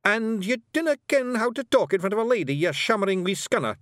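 Rob Anybody, a loud Scottish fairy. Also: male voice, high voice, very animated, quick pace, no room sound, studio quality, pitched above the performer's own voice. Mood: bluster. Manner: loud, boisterous, cheerful. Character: tiny brash male fairy warrior chief.

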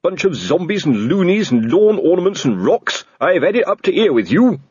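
A man voicing a pompous, blustering middle-aged male watch captain who shouts and splutters indignantly. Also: mid-range voice, animated, moderate pace, breathy edge, no room sound, lo-fi sound, pitched above the performer's own voice.

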